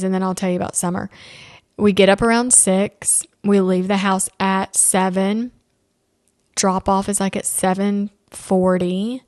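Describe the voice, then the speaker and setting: A woman's voice: medium pitch, no echo, clean studio sound. A woman in her thirties hosting a casual solo podcast, chatty and candid, with playful asides. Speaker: podcast host.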